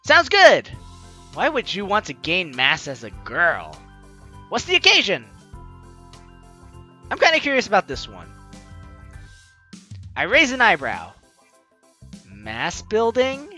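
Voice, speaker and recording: A young man plays through a story game with bright, forceful energy. His pitch swings widely as he chats playfully and reads out lines dramatically, with music running behind him. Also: huge pitch swings, slow, long pauses. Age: young adult. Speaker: let's player hosting a game series. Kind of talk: lively game commentary, reading dialogue aloud.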